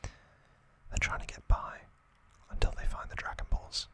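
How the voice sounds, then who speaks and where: A man whispers softly as an ASMR host, with no voice behind the breath. The sound is bright and crisp in its hiss, and the delivery is very unhurried, breaking into short phrases. He recaps trivia informally, with halting little asides. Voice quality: soft, breathy unvoiced whisper.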